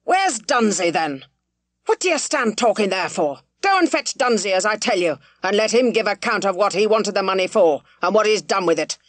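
A woman's voice: medium pitch, fairly quick, few pauses, dry recording, natural voice. A woman reading aloud, voicing an older country squire who is ponderous and blustering, scolding his son. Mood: furious. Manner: shouting, scolding.

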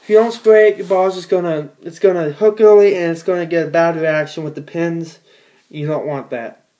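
A man's voice: on the high side, steady tone, moderate pace, mellow. A teenage boy in a small room at home, giving a casual how-to in a rambling, plain-spoken way with many fillers and restarts. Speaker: bowler demonstrating ball cleaning.

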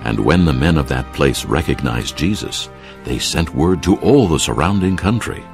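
Super deep guy narrating scripture, solemn and measured, expressive pitch, faint music behind. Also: vocal fry. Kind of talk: scripted dramatised Bible narration.

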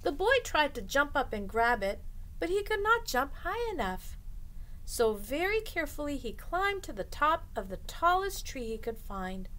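A middle-aged woman reading a picture book aloud to children as a storytime host, expressively and deliberately, drawing out the ends of phrases. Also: high voice, sing-song, pushed and bright, slight background noise, poor audio. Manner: deliberate, drawing out phrase endings for young listeners. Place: small indoor room lined with bookshelves.

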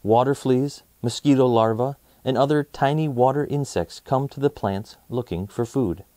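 A man in his thirties hosting a children's read-aloud, presenting nonfiction in a deliberate, emphatic storytelling way. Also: medium pitch, warm tone, dry recording.

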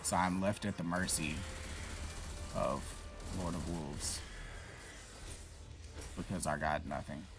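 A man's voice: low-pitched, steady tone, takes it slowly, long pauses. A man casually commentating his gameplay, frustrated and swearing at the boss. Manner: frustrated, profane, talks to himself. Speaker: gaming YouTuber. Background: game music.

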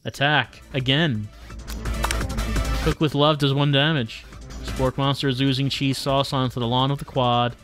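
A man live-streaming a visual novel, reading the text aloud with wry, deadpan asides. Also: medium pitch, a little slow, music in the background.

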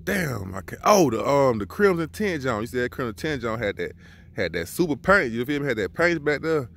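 A man's voice: mid-range voice, very animated, fairly quick, creaky, a bit bright. A man reviewing sneakers in a casual vlog, talking loose and profane with lots of slang, recorded outdoors on a handheld phone. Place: outdoors beside a car in a yard.

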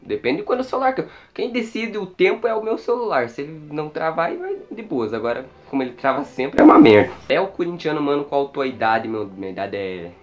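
A man's voice: on the high side, big pitch swings, quick pace, few pauses. Slangy, jokey teenage Brazilian boy. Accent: Brazilian Portuguese. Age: teens. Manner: slangy, jokey, swears a lot, self-mocking. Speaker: YouTuber answering viewer questions. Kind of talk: casual Q&A vlog, unscripted. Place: small bedroom with wooden walls, indoors. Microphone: phone or webcam at arm's length.

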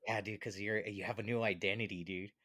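Low-voiced man, casual and slangy. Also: steady tone, fairly quick, sharp tone, no echo, studio quality. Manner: informal, slangy, jokey. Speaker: podcast co-host. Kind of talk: casual podcast chat. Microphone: close podcast microphone with headphones.

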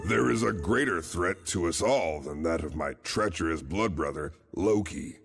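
A man's voice: sits low, lively intonation, moderate pace, gravelly, a bit bright, breathy edge, soft background music, heavily acted. A man playing a stern, weary old bearded god in a video game, speaking with solemn grandeur.

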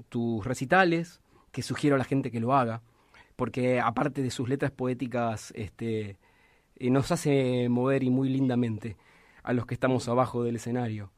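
A middle-aged man with an Argentine accent hosting a radio interview in a small studio, talking at an unhurried pace with frequent restarts. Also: mid-range voice, dry recording. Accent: Argentine Spanish. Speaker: radio host. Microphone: studio mic with headphones.

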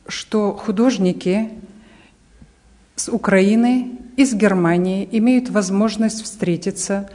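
A woman in her fifties answering interview questions as a spokeswoman, haltingly searching for words, indoors on a handheld microphone. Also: mid-range voice, warm tone. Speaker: association press spokeswoman being interviewed. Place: indoor art gallery. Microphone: reporter's handheld microphone.

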